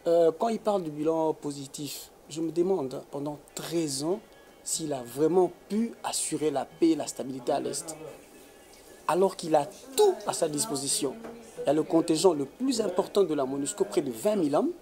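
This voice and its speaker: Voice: medium pitch, expressive, moderate pace, mellow, soft background music. A guest from the Congo, giving emphatic, indignant interview answers with frequent hesitations. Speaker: political commentator guest.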